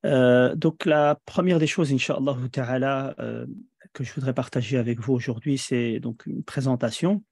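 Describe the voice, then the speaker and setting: Mellow-voiced Belgian-born imam teacher. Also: male voice, mid-range voice, steady tone, no echo, studio quality. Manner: measured, hesitant with fillers. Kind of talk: online presentation.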